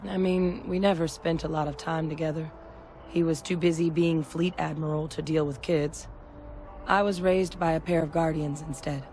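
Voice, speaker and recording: A woman playing a candid, measured military veteran in a video game, speaking deliberately. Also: sits low, steady tone, darkish tone, a little hiss or hum. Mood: sober, candid. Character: measured, candid female soldier-scientist, war veteran.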